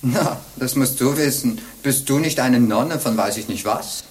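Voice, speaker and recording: An older actress playing a sly, jovial old bawd in a radio play, teasing and wheedling in a theatrical way. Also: female voice, a bass voice, a little slow, darkish tone. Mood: matter-of-fact, sly. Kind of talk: German radio play acting.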